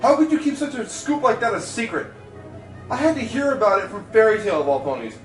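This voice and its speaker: Guy doing excitable young reporter pony, radio play, swoopy delivery, echoey room. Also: high voice, quick pace. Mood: indignant. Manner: eager, expressive. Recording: roomy distant sound with faint music.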